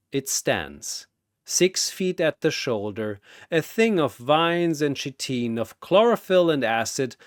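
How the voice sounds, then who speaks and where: A male narrator delivers scripted dramatic storytelling at an unhurried pace. His pitch moves around a lot, with theatrical, deliberate phrasing. The sound is dry, with little room in it. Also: no echo.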